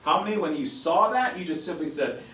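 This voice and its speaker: Man, raspy voice, professor, animated delivery, roomy echo. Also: a little high, brisk, recorded from a distance. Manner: talks to himself while fumbling with the computer. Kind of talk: classroom lecture. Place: classroom.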